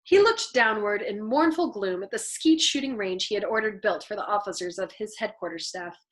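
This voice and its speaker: A woman reading a story aloud as an expressive narrator. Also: mid-range voice, moderate pace. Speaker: storyteller, show host. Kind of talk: expressive audiobook-style narration.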